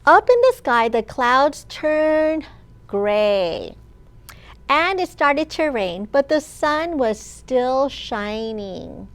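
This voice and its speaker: Woman, children's storytime host. High, very slow, lively sing-song read-aloud with pauses for little listeners. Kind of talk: expressive read-aloud of a picture book to young children.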